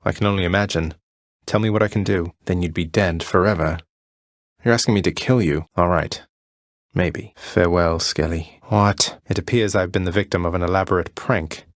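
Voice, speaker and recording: A young rebel prince of the underworld played by a man speaks in a deep British voice. He is courteous but wry, delivering his game dialogue with casual understatement. The sound is dry, with no room around it. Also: moderate pace, no room sound, close to an everyday voice. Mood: dry, amiable. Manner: dry, understated, conversational politeness. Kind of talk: video game character dialogue.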